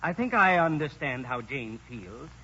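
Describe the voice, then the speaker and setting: Timid family lawyer, 1940s radio: wavering animated pitch, kindly, halting, dull old recording. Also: male voice, full character voice. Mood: hesitant, uneasy. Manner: hesitant, stammering, throat-clearing. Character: nervous, kindly male country lawyer, hesitant and deferential.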